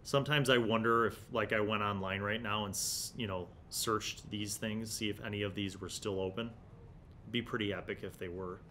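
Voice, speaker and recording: An American man in his forties hosting a retro gaming review with relaxed, nostalgic, casual commentary. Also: normal speed. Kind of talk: casual commentary while leafing through an old magazine. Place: small indoor studio room. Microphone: large desk condenser microphone. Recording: clear low background rumble and noise.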